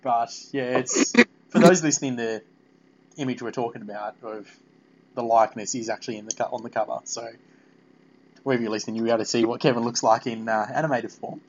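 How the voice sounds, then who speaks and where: A man hosting a casual podcast interview, talking in a halting, meandering way. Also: mid-range voice, steady tone, slight background noise. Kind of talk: casual fan interview chat. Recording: dull, lo-fi podcast audio.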